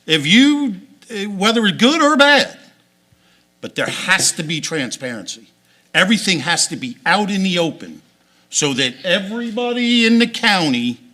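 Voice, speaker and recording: A man's voice: sits high, pushed and bright. A man speaking emphatically, insisting on transparency at a county council meeting. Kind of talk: closing remarks at a council meeting.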